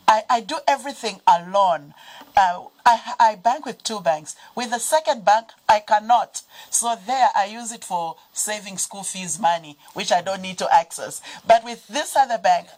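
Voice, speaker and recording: A woman's voice: mid-range voice, rough recording. A woman with a Zambian accent speaking as a guest on a studio panel, emphatic and persuasive, addressing listeners directly. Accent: Zambian English.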